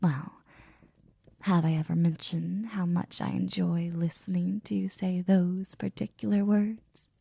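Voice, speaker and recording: A woman playing a devoted, flirty and jealous maid in an intimate ASMR roleplay, speaking softly and close to a whisper with teasing, courtly wording. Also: lowish voice, steady tone, measured pace, dry recording, full character voice. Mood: smitten. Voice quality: soft, breathy, often near whispering. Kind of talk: ASMR roleplay, intimate one-sided scene.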